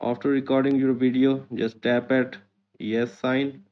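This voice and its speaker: Flat-toned male tutorial narrator, muffled audio. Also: medium pitch, measured pace. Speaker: host of a tech tutorial channel.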